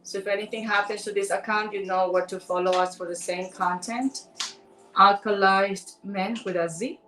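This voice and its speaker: A woman's voice: medium pitch, fairly even intonation, moderate pace, pushed and bright, distant mic, soft background music. A woman from Ecuador with a Spanish accent, chatting playfully with viewers while co-hosting a live stream. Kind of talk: casual live-stream chat. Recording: roomy sound from away from the mic.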